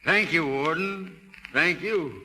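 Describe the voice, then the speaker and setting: Humble old rural American: folksy, halting, very sharp and forceful voice, lively pitch, 1930s broadcast. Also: male voice, long reverb tail, a little hiss or hum, full character voice, low-quality recording. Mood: grateful, musing. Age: older. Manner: folksy, halting, repeats himself. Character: elderly rural man, humble, just freed from prison. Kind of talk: 1930s American radio drama acting. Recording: old 1930s radio broadcast, faint music.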